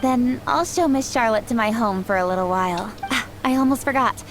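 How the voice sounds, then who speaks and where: A woman playing a gentle, learned young woman. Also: on the high side, lightly acted, rough recording. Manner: soft-spoken, measured, formal. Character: polite young woman, gentle and knowledgeable about traditions. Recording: game music behind.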